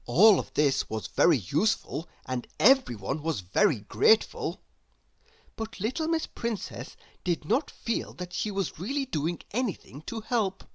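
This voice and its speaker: A woman reading a children's story aloud in an expressive, deliberate, theatrical way. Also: deep voice, breathy edge, no room sound. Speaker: storybook reader.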